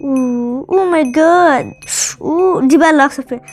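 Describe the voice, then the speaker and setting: Very high, fast-talking Egyptian woman gamer. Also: faint music behind. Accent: Egyptian Arabic. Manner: excitable, teasing, repeats words in chants. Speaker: gaming video host. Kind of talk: casual playful gameplay chat.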